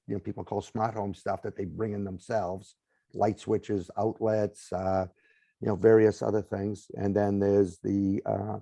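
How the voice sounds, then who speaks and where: A man's voice: fairly low pitch, creaky, warm tone, no echo, clean studio sound. A man with a Boston accent, a guest in an interview explaining home technology in long lists, often ending points with "right?". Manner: explanatory, lists items, frequent "right?" tags. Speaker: smart home company guest. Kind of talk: conversational interview.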